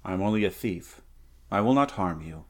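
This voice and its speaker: A man puts on a gruff barbarian warrior from a fantasy tale. The voice is deep and muttered, with short, fearless, plainspoken lines. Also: animated, a little fast. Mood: steady, reassuring. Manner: low muttering, terse.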